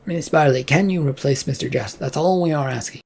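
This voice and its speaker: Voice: mid-range voice, a little fast, vocal fry, a little mellow. A narrator voicing a worried, urgent male project worker with an insistent manner, in an audio story. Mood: pleading.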